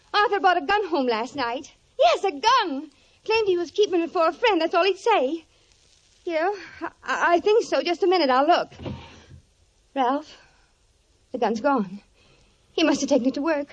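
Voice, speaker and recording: An actress playing an anxious, secretive young wife with insistent melodramatic delivery in a 1950s radio drama. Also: female voice, very high voice, dull audio.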